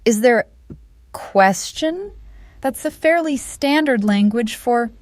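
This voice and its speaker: A woman voicing a smooth male attorney who politely presses to hurry things along, in an audio story. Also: a little high, lively intonation, slow pace, darkish tone, dry recording. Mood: politely pressing.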